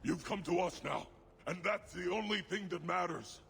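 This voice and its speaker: An actor playing a crazed, fanatical dwarf smuggler in a video game, gravelly and fervently raving. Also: male voice, high voice, moderate pace, a bit bright, long reverb tail.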